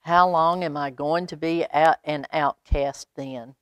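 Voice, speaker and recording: A woman voicing a glum, jealous male basset hound in a storybook, muttering with miserable resignation. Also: steady tone, unhurried, no echo.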